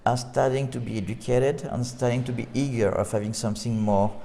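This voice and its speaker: A man from France speaking English with a French accent as a film director in an interview, pausing often with fillers and restarts. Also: mid-range voice, fairly even intonation, gravelly, mellow, big-room sound, a little hiss or hum. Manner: halting, with many "uh" fillers and restarts. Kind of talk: interview conversation.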